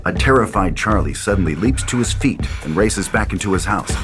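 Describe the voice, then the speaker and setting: A man with a very deep voice reads horror stage directions as a narrator. His scripted delivery sits over clearly audible music. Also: expressive, fairly quick. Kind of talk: scripted screenplay narration, horror table read. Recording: loud music under the voice.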